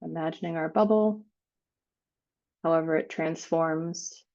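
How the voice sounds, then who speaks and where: A woman leading a guided spiritual meditation, speaking in unhurried phrases with long pauses between them. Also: deep voice, fairly even intonation, creaky, darkish tone, highs cut off.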